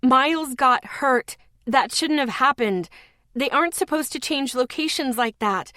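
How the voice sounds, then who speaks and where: A woman voicing a panicked young woman in tears, loud and urgent. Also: high-pitched, a little slow, dry recording, studio quality, voice pushed up. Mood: distraught. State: crying.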